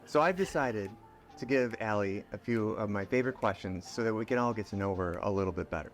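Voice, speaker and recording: A man's voice: on the low side, fast. Joking, fumbling man interviewer. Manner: joking, rambling, fumbles his words. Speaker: chamber of commerce interviewer. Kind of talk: casual interview.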